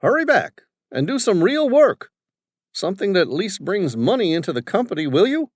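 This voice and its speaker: A man's voice: high-pitched, sing-song, moderate pace, no echo, studio quality, voice pushed up. Man playing a pompous rich old father. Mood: condescending. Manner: pompous, condescending. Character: arrogant wealthy older businessman father.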